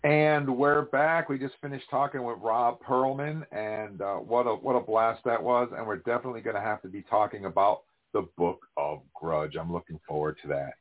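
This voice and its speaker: A man's voice: lowish voice, steady tone, normal speed, hardly any pauses. Upbeat male podcast host, phone-thin sound. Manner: upbeat, enthusiastic host patter. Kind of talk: casual chat. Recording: tinny phone-like audio.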